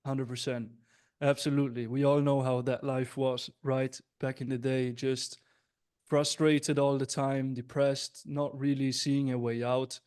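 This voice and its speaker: A man's voice: mid-range voice, level tone, a little slow, darkish tone, smooth. A man in his twenties from Switzerland hosting an interview in an upbeat, friendly and direct way, often speaking to the audience. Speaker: host, business coach.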